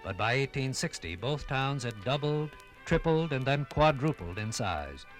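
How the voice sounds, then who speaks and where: A man's voice: medium pitch, big pitch swings, moderate pace. Emphatic male vintage radio announcer with music. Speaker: radio narrator. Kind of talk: 1950s scripted radio documentary narration. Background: instrumental music.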